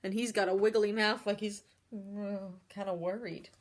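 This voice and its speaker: A woman doing an expressive picture-book read-aloud, pausing to explain things and ask young listeners simple questions. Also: mid-range voice. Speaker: read-aloud storyteller for children.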